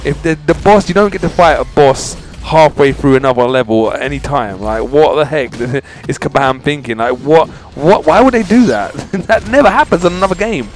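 A man's voice: on the high side, expressive, moderate pace, hardly any pauses. A man hosting a casual video game walkthrough, talking informally and straight to his viewers, often repeating his phrases. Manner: informal, chatty, addressing viewers directly. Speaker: gaming channel host. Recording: game music behind voice. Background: video game music.

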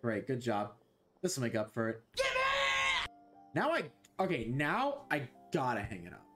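A man's voice: mid-range voice, wildly swooping pitch, measured pace, fairly bright tone, shouting, faint music behind. A young man streaming a game from his bedroom on a headset, chatting loosely with viewers, joking and swearing. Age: young adult. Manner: loose, joking, swears a lot, talks to chat. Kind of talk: casual gaming stream chat. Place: small bedroom.

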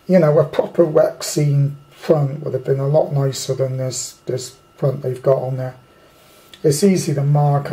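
A British man talks through a piece of kit as an amateur hobbyist would. His voice sits a little high for a man and has a dark, relaxed tone. He rambles in plain colloquial language and gives his opinions bluntly. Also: poor audio. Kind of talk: casual off-the-cuff product review. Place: indoors at a tabletop.

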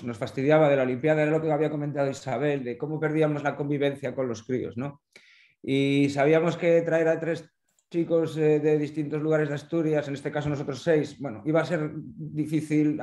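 A man's voice: a little high, a little mellow, roomy sound. Hesitant middle-aged man on video call. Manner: hesitant, repeats words while thinking. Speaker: olympiad organizer presenting a video. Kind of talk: casual explanation on a video call. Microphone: laptop webcam on a video call.

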